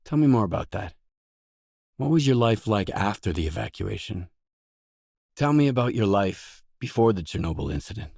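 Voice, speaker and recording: Stoic male video game mercenary, low-pitched, matter-of-fact, guarded, very dry sound. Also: vocal fry, a little mellow, dry recording. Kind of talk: scripted game dialogue lines.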